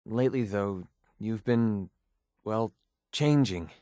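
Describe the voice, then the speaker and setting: A man's voice: lowish voice, slow pace, dark tone, dry recording, clean studio sound. An actor playing a jaded young male wasteland mercenary in a video game, blunt and cynical with an earnest, wounded side. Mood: hurt, measured.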